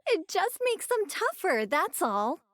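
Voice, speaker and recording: Young anime woman, very high pitch, lively intonation, perky, sweet, easygoing, casual game dub delivery. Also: takes it slowly, dry recording, exaggerated voice. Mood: sweet, supportive. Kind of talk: English-dubbed anime game voice acting.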